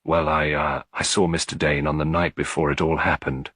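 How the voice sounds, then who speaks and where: A man's voice: extremely low pitch, flat delivery, moderate pace, a bit bright, breathy edge, no room sound, clean studio sound, exaggerated voice. A man voicing a timid, anxious young housemaid in an audiobook mystery, tremulous, hesitant and deferential. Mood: nervous confiding.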